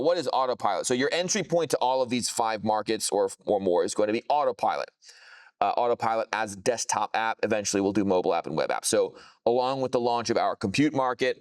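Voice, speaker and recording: Man, low clear voice, dry close sound, informal tech presentation, casual jargon-heavy explaining. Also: no room sound. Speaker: software founder presenting a product. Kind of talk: informal product demo presentation.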